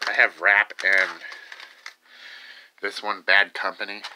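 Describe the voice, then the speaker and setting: A young man of about twenty with a low voice talks in a casual, unscripted way. His delivery is offhand and halting, as if showing his things to the camera. It is recorded on a handheld phone with clear background noise. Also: flat delivery, sharp tone. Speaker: video maker showing a collection. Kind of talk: casual unscripted show-and-tell. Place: small cluttered room at home. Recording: noisy phone recording with clicks.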